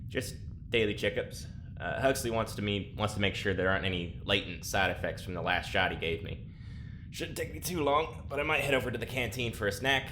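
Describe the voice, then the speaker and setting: A man's voice: on the low side, animated, a little fast, natural voice. A man playing an easygoing, sensible young prisoner in an audio drama, casual and plainspoken. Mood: casual, hesitant. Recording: clear background noise and ambience.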